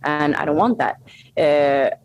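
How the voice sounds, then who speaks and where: A woman from Saudi Arabia whose first language is Arabic, a fitness coach speaking as an interview guest in an animated, laughing way. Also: low voice, slow pace, lo-fi sound. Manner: animated, laughs often, frequent restarts. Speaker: guest, strength and conditioning coach. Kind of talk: casual interview conversation.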